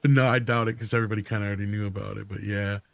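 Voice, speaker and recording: A man's voice: lowish voice, little pitch movement, rapid-fire, gravelly, dry recording. A man hosting a live stream, chatting informally and jokingly while reading out viewer comments. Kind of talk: casual panel chat.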